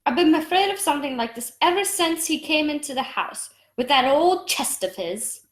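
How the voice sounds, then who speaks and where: A woman's voice: sits high, lively intonation, normal speed. A woman playing an anxious, fretting mother in a radio play. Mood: worried. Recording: echoey room.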